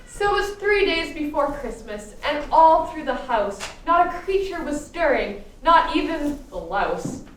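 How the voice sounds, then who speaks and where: A woman's voice: high voice, very animated, unhurried, few pauses, slight background noise. A woman playing a storybook narrator in a radio play, with a theatrical storytelling delivery. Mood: playful storytelling. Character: storybook narrator of a Christmas tale. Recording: echoey room, distant mic.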